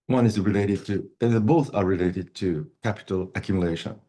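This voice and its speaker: Middle-aged male lecturer, non-native English, low mellow voice, slow and halting delivery. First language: not English. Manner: halting, many fillers and restarts. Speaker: university lecturer. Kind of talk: university lecture.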